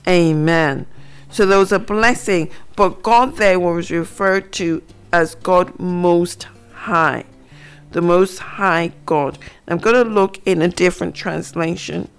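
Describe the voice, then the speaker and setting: Female radio Bible teacher, unhurried and reflective, soft guitar bed under her. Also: fairly low pitch, vocal fry, clear, resonant tone. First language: not Hebrew. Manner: slow, reflective, reads scripture aloud. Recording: faint guitar music behind. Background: soft guitar music.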